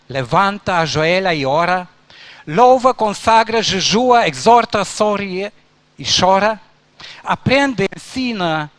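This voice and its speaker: Male pastor, higher voice, unhurried, earnest rhythmic preaching. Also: a bit bright, no echo. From Romania. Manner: slow, earnest, rhythmic listing. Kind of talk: sermon at an induction service.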